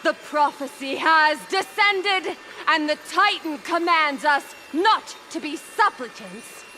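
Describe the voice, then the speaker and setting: An actress playing a reverent young priestess in a video game, declaiming a prophecy. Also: female voice, high-pitched, animated, slow, sharp tone, slight background noise, full character voice, poor audio. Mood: awed, fervent. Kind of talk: dramatic game voice acting.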